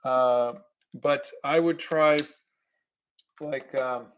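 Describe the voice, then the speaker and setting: A middle-aged man hosting an art livestream, chatting in a relaxed, halting way with fillers while he paints. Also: medium pitch. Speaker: artist and livestream host. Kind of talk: casual chat while painting.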